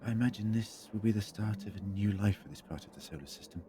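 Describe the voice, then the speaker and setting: A man's voice: on the low side, fast talker, warm tone, slight background noise, close to an everyday voice. A man playing a weary, sombre time traveller in an audio drama, speaking in a grave, reflective way. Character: weary, sombre time-travelling male hero, burdened by guilt.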